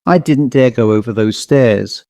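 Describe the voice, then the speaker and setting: A man's voice: mid-range voice, a little slow, dark tone, dry recording, clean studio sound. A man narrating a first-person ghost story as a scripted audiobook reading.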